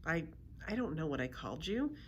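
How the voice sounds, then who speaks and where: Woman voicing an anxious, earnest young boy, lively pitch. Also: brisk, dull audio, slight background noise. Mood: flustered.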